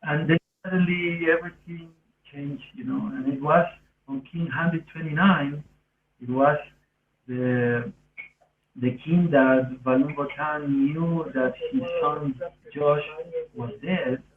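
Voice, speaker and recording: A man's voice: fairly high pitch, steady tone, slow pace, slight background noise. A man with an Argentinian accent, a native Spanish speaker, telling personal stories in earnest, rambling, non-native English on a podcast. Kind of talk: casual autobiographical storytelling. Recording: roomy, distant, phone-like thin sound.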